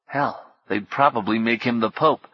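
Man reading feverish young hero, wry, husky, dull sound. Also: medium pitch, moderate pace, dry recording, lightly acted.

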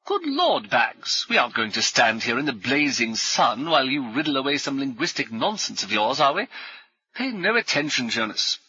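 Guy putting on a loud jolly ex-army officer, bossy, clipped fast talk, husky bright voice, dull dry audio. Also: mid-range voice, big pitch swings, dry recording, voice pushed up, heavily acted. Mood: hearty. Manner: swift, clipped speech. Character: hearty ex-cavalry officer, brash, jovial, bossy.

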